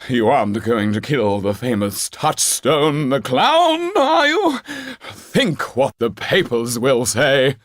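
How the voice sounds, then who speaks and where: A man's voice: medium pitch, sing-song, slow, no room sound, studio quality, voice pushed up. A man voicing a pompous male stage actor who pleads for his life in grand, flowery, theatrical speech. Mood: pleading grandly.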